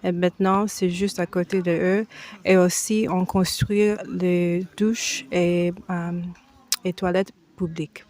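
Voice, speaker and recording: A woman from the United States, answering a TV interviewer's questions slowly and with many hesitations. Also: on the low side, little pitch movement, darkish tone. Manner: slow, halting, with frequent hesitations and restarts. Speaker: foundation president, guest.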